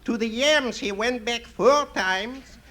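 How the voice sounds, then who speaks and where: A high-pitched, wry husband. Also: male voice, slow pace, pushed and bright, full character voice. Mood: wry, exasperated. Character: long-suffering, wryly sarcastic married man, comic neighbour. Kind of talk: 1950s radio comedy acting. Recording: old radio recording with faint noise.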